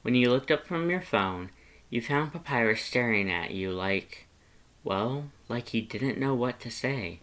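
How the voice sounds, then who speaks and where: Mid-pitched audiobook narrator. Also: expressive, unhurried, fairly bright tone. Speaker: audiobook narrator of fan fiction. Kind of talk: scripted second-person story narration.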